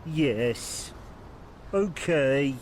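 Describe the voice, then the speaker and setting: Very slow, childlike middle-aged man. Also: on the high side. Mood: compliant, unsure. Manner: literal, repetitive, flatly agreeable. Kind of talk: radio play dialogue. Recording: noisy outdoor scene with traffic.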